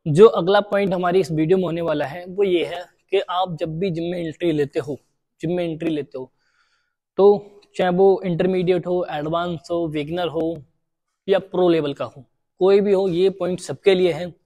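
A man's voice: high-pitched, fairly even intonation, moderate pace, darkish tone. A young man giving gym tips to the camera, repeating key points for beginners, recorded in a large indoor gym. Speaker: gym trainer.

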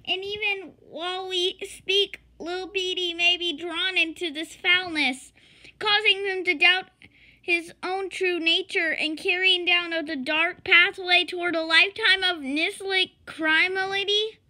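A young reader voicing an earnest, alarmed police chief from a comic storybook, melodramatic and halting on long words. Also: very high-pitched, steady tone, sharp tone, pitched above the performer's own voice, exaggerated voice. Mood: alarmed, dramatic.

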